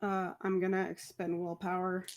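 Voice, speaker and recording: A woman's voice: fairly low pitch, completely flat delivery, moderate pace, darkish tone, lo-fi sound. A woman playing a tabletop roleplay game, chatting casually as a player.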